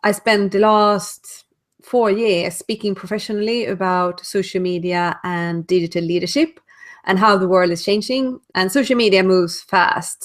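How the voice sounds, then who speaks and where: A woman with a Swedish accent speaks in a relaxed interview over a video call. She talks thoughtfully, sometimes stopping to search for the right English word. Her pitch sits in the middle range. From Sweden. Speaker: guest, speaker and author. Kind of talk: casual interview answers.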